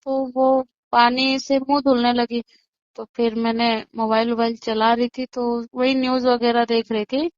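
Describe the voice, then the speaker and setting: Woman eyewitness, higher-pitched voice, conversational recounting, muffled phone-call audio, dry sound. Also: little pitch movement, measured pace, clear, resonant tone, no room sound. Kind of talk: casual recounting of events. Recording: dull lo-fi phone call recording.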